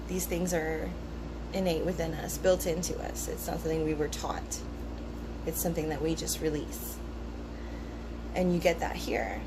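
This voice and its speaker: A woman in a casual, rambling talk, part reading aloud and part musing, relaxed and thoughtful with frequent ums and pauses. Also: deep voice. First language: English. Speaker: vlogger discussing esoteric teachings. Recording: noticeable background hum.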